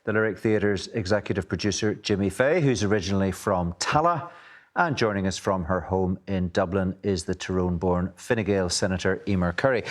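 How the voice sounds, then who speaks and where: A man presenting a current affairs discussion, introducing guests and putting probing questions, sometimes with small hesitations. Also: fairly low pitch.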